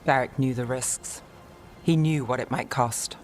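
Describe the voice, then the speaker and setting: An actress playing a composed, analytical woman detective in a fantasy game, measured and wry. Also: rumbling low, darkish tone, a little hiss or hum. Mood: reassuring. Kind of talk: fantasy video game voice acting.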